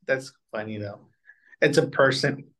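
A man with a mid-pitched voice that swings widely up and down as he co-hosts. He chats casually in short, jokey reactions and is quick to laugh. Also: huge pitch swings. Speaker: co-host of a cartoon countdown show.

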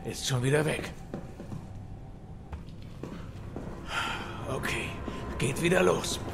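A man's voice: mid-range voice, moderate pace, creaky, noisy background, lightly acted. A man playing a tough, wry young mercenary in a zombie horror audio drama, speaking colloquially. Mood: tense, wary. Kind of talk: dramatic audio drama acting of a video game story.

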